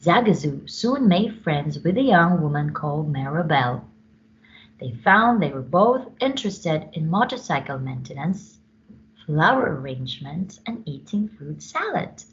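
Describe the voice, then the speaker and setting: A woman reading a children's story aloud with expressive, dramatic emphasis. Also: low voice, a little slow, muffled recording.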